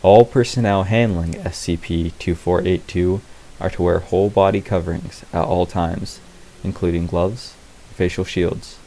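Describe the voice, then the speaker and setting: A man giving a deadpan, clinical and formal narration of a scripted fictional report. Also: low-pitched, measured pace, poor audio. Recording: faint hiss behind the voice.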